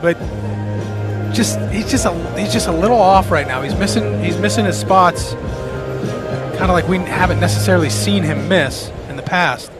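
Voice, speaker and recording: A man's voice: high voice, normal speed, loud music behind the voice. An American man giving conversational baseball analysis as a radio color commentator, circling back and repeating phrases as he goes.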